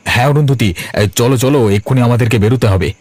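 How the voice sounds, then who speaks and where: Man acting a fast-talking, stubborn village uncle. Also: medium pitch, a little mellow, dry recording, close to an everyday voice. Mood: urgent. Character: blunt, stubborn grown son and village uncle. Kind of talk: Bengali cartoon voice acting. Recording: music under the voice.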